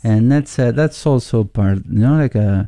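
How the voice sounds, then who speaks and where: A man with an Argentine Spanish accent, a musician guest in a casual interview, speaking in a thoughtful, halting way as he searches for words. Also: medium pitch, warm tone, no echo, clean studio sound.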